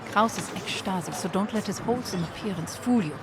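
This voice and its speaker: A woman acting a guarded, measured underground contact in a Cold War video game cutscene. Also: mid-range voice, natural voice. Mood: guarded, grave. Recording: loud background noise.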